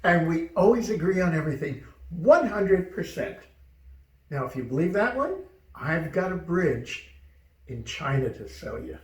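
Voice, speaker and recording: Man, higher voice, distant roomy sound. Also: big pitch swings, a little slow. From United States. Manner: joking, storytelling, reflective. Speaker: co-host. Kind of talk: casual chat.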